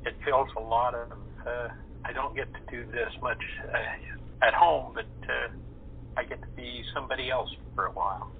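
A man speaking as an interview guest, an actor chatting casually in a halting, reflective way. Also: medium pitch, fairly even intonation, creaky. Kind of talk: casual chat. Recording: phone-like line with faint noise.

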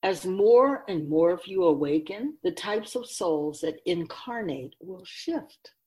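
A woman's voice: on the low side, animated, slow, darkish tone. A woman playing a wise, grave otherworldly keeper of souls in a radio drama, speaking in an oracular, instructive and emphatic way. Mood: calm, oracular.

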